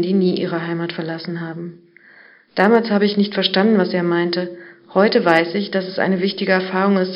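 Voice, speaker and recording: A woman from East Germany narrates a personal essay in a calm, measured voice. Her pitch stays level and flat. The recording sounds dull and lo-fi. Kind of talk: reflective first-person narration.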